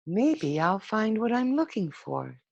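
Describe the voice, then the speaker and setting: A woman voicing a restless, curious young male caterpillar in a storybook, expressive and wondering, on a muffled video call. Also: medium pitch, takes it slowly, vocal fry, no room sound, voice pushed up, full character voice.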